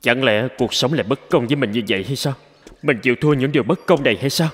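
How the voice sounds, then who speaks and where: A man voicing a dejected, gentle inventor with a sincere manner in a cartoon drama. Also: mid-range voice, quick pace, breathy edge, no room sound, clean studio sound, natural voice. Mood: bitter, dejected.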